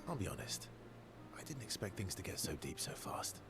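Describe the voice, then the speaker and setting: A man's voice: low voice, big pitch swings, fairly quick. A man playing a wry, guarded man with a scarred past in naturalistic video game dialogue, understated and dryly teasing. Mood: wry, confiding. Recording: prominent game music behind dialogue.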